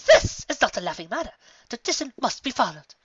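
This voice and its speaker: A woman's voice: very animated, brisk, fairly bright tone, slightly rough, no room sound, lo-fi sound, full character voice. A woman voicing a fussy, prim female pony who speaks theatrically and is easily appalled. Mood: indignant. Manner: theatrical, shrill outbursts, ranting primly.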